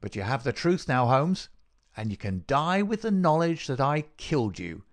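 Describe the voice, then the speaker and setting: A man voicing a cruel, gloating murderer in an audiobook, sneering and mock-solicitous in a dry rasp. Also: mid-range voice, very animated, normal speed, voice pushed up. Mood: triumphant, venomous. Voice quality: dry, rasping. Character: gloating, cruel murderer taunting his dying victim. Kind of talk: dramatic audiobook character reading.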